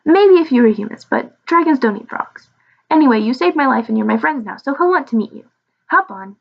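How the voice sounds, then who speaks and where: A woman's voice: high voice, lively intonation, a little fast, gravelly, lo-fi sound, full character voice. A woman voicing a small, young male dragon who is friendly, eager, curious and chatty in a storybook reading. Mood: eager, helpful.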